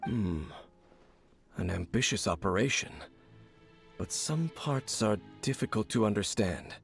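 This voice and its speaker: Casting: knowing, stoic game warrior, lowish gravelly voice, solemn and formal. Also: male voice, lively intonation, measured pace, soft background music. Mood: serious, explanatory. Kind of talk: video game character acting.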